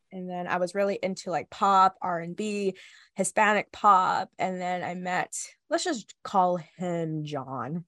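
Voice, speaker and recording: A young woman co-hosting a podcast, chatting casually with a stuffy nose from a cold, nervous and quick to laugh. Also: medium pitch, a bit bright, no room sound, clean studio sound. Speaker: podcast co-host. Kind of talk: casual chat.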